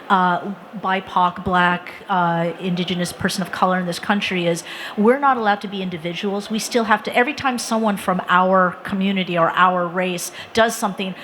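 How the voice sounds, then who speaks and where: A woman's voice: mid-range voice, fairly bright tone, a little hiss or hum. Earnest woman telling stories emphatically. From Connecticut, USA. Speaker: author. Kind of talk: conference panel conversation. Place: conference venue.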